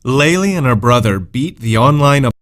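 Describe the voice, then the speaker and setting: A man's voice: mid-range voice, animated, normal speed, fairly bright tone. A man giving a scripted educational read-aloud of words, definitions and example sentences as a vocabulary narrator.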